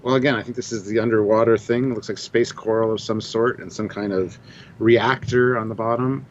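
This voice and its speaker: A man's voice: fairly low pitch, steady tone, fairly quick, muffled recording, slight background noise. A man co-hosting a casual live discussion over a video call, dry and sardonic. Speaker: co-host. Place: home room.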